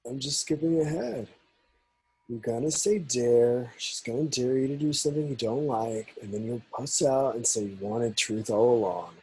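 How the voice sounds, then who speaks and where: A man's voice: medium pitch, normal speed, a little mellow, lightly acted, poor audio. A man playing a cocky, needling young boyfriend in an amateur table read. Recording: faint background noise.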